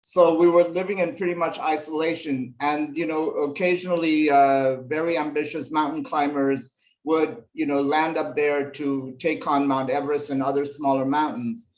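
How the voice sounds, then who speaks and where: A man's voice: on the high side, fairly even intonation, normal speed, low-quality recording. A man from Nepal, a fashion illustration professor, telling stories from his life as an interview guest, with lots of fillers. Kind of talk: relaxed storytelling interview answers.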